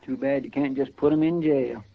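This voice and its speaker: A man's voice: mid-range voice, steady tone, brisk, vocal fry, lightly acted. An American man acting an eager, deferential marshal's helper in a 1950s radio western, with a folksy, plainspoken manner. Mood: wistful. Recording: muffled old radio recording, bustle behind.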